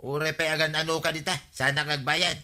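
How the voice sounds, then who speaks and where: A man's voice: fairly high pitch, flat delivery, a little fast, pushed and bright. A man with an Ilocano accent playing a blunt, protesting village neighbour in a radio comedy drama. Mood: indignant. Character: indignant stingy male village neighbour.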